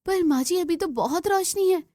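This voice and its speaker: High-pitched eager young bride, acted by a woman. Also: normal speed. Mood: apologetic. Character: eager young city-bred daughter-in-law, sweet newlywed.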